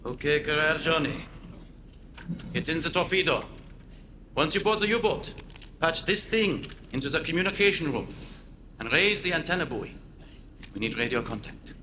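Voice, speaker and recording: An actor playing a ruthless resistance plotter in a video game, giving clipped, wry instructions. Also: male voice, a little high, lively intonation, moderate pace, long pauses, sharp tone, slight background noise. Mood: commanding. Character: brisk, ruthless male German resistance plotter. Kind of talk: video game drama acting.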